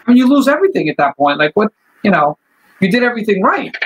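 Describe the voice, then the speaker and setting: A man's voice: high-pitched, very animated, quick pace, noisy background. A man from Chile, working as an estate attorney, explaining things casually over a video call, thinking aloud and speaking frankly. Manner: thinks aloud, lots of "like" and "you know", frank.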